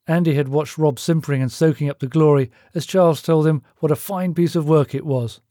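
Male audiobook narrator, slightly high-set voice, dark and relaxed tone, scripted storytelling. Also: fairly quick, no echo, studio quality.

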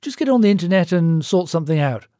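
A blunt, matey office boss played by a male narrator in an audiobook story. He is bossy and brash, handing out orders in a raised pitch, with a subdued tone and a very dry, close sound. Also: expressive, fast talker, dark tone, dry recording. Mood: brisk, instructing.

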